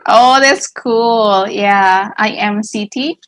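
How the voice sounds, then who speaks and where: A woman's voice: mid-range voice, takes it slowly, a bit bright. A woman from Indonesia, a teacher asking questions in a friendly, curious way, with lots of small acknowledgements. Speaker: English and Indonesian teacher, co-host. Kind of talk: casual conversational Q&A.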